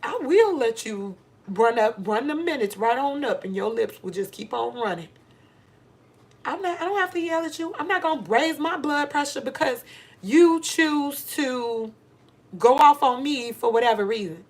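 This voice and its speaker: A woman's voice: high-pitched, animated, normal speed. A middle-aged American woman giving a candid motivational monologue to viewers, speaking emphatically and repeating phrases for stress. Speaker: vlogger giving advice to women.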